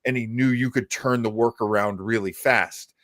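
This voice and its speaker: A man's voice: medium pitch, fairly even intonation, moderate pace, fairly bright tone, dry recording, studio quality. A man hosting a show, chatting casually and musing as he explains things at length. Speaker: radio show host. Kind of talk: casual chat about old radio.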